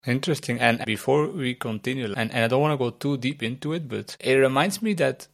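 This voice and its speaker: A man's voice: medium pitch, brisk, vocal fry. A man hosting a tech podcast, chatting loosely and asking questions with the odd pun. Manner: chatty, loose phrasing, playful puns. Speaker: podcast host. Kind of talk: casual interview chat.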